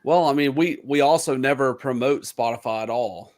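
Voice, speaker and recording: A man's voice: mid-range voice, moderate pace, darkish tone. A man in a band, a guest in a casual online chat, talking bluntly and joking.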